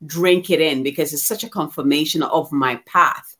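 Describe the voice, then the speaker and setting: Reflective, expressive woman from Ghana. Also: low-pitched, normal speed. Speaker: guest receiving a card reading. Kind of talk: candid personal conversation.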